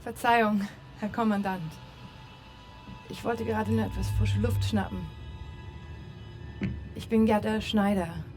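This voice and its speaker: A woman's voice: mid-range voice, steady tone, measured pace, long pauses, darkish tone, natural voice. An actress playing a resolute young resistance woman, controlled and determined. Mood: guarded, formal. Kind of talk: cinematic war game acting. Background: music.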